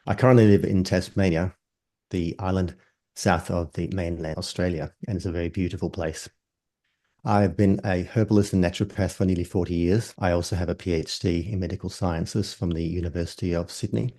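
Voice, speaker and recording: Older herbalist, very low, dark gravelly tone, measured explainer. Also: male voice, fairly even intonation. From Denmark. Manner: measured, explains terms step by step. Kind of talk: explanatory interview answers.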